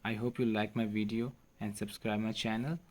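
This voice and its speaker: A man's voice: lowish voice, flat delivery, moderate pace. A man hosting a how-to tutorial, explaining an app step by step. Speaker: tutorial host.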